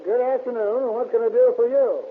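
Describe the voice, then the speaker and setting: A man's voice: very high-pitched, steady tone, normal speed. A man playing a polite, eager machine shop proprietor in 1930s radio acting. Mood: eager, obliging. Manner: obliging, deferential. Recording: noisy, tinny 1930s radio recording.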